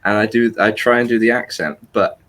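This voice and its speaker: A man's voice: lowish voice, a little fast, a bit bright. A British man chatting casually on a voice call, telling stories and laughing often. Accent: British English. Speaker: guest on a chat stream. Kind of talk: casual chat.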